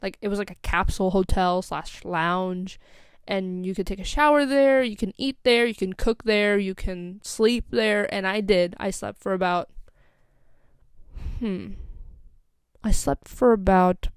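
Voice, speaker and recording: A woman's voice: medium pitch, steady tone, measured pace, smooth, no echo, clean studio sound. A young woman with an American accent telling a casual, rambling story as a vlogger, full of "like" and "um". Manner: rambling, scatterbrained, lots of "like" and "um".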